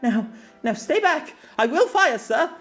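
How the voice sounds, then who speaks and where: A man doing a frightened young police officer in a storybook tale, his voice jumping up into a thin, squeaky register as he begs and stammers. He sounds desperate and rattled, a boy out of his depth, and the pitch swings wildly from line to line. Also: sing-song, measured pace, a bit bright, soft background music, heavily acted. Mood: panicked. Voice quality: squeaky, pleading.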